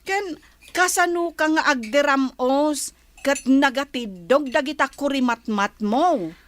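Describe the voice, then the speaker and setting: Nagging, high-voiced Ilocano big sister. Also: female voice, slow. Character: nagging, critical married older sister. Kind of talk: Ilocano radio comedy drama acting.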